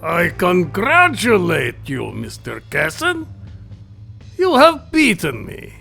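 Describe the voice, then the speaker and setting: A villainous hunter in an audio drama, gloating with pompous, lecherous courtesy. The voice is bright and forceful, set a little high, and swings widely between silky taunts and demands. It is theatrical and formal throughout. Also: male voice, huge pitch swings, slow, creaky, slightly rough, soft background music, exaggerated voice. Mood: mock gracious.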